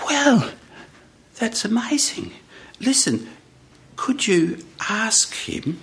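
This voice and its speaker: A man's voice: high voice, very animated, drawn-out words, a bit bright, husky, voice pushed up, heavily acted. A man voicing a kindly, lonely woman, curious and welcoming, in live storytelling.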